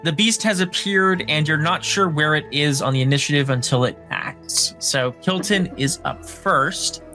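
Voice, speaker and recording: A man's voice: a little high, moderate pace, sharp tone, soft background music. A man acting as game master, narrating a dramatic recap and explaining rules casually.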